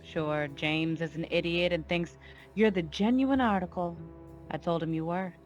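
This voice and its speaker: A woman playing a low-voiced, scornful gangster boss. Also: highs cut off, faint music behind, lightly acted. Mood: scornful taunting. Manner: taunting, deliberate, imperious. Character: cold, commanding female gangster boss, scornful and threatening. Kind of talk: 1940s radio noir acting.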